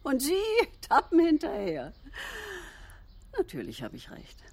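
An actress playing an imperious university lecturer in a radio drama, husky, needling and sure of herself. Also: female voice, sits high, huge pitch swings, unhurried, darkish tone, dry recording, heavily acted. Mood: smug, needling. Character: imperious, self-certain female university lecturer, needling and smug.

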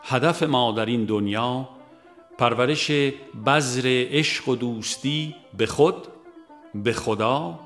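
A man narrating a spiritual audiobook in a measured, solemn way, phrase by phrase. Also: mid-range voice. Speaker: audiobook reader. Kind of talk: scripted devotional narration.

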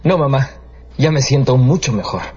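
A man's voice: mid-range voice, expressive, moderate pace, a little hiss or hum, lightly acted. An actor playing a passionate teenage boy in love in a radio drama, earnest and melodramatic. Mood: polite, evasive. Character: passionate, lovestruck teenage boy, bold and pleading. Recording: muffled radio production with loud music.

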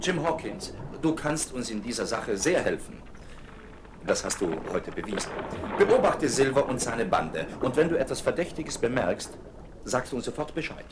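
Actor as steady ship's doctor, measured, lively pitch, hissy recording. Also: male voice, natural voice. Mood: earnest. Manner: calm, measured authority. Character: level-headed ship's doctor. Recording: hissy old vinyl radio play.

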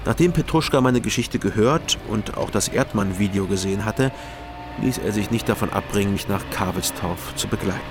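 A man narrating as a calm first-person detective. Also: on the low side, background noise, close to an everyday voice. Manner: calm, measured storytelling. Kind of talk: scripted crime drama narration. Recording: music playing behind.

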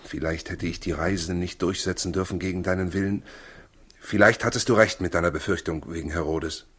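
Low husky biblical father, humble and brooding, old radio play style. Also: fairly even intonation, fairly quick, fairly bright tone. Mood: troubled, brooding. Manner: brooding, earnest. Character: Joseph, worried, humble new father in biblical Bethlehem. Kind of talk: 1960s German radio play acting.

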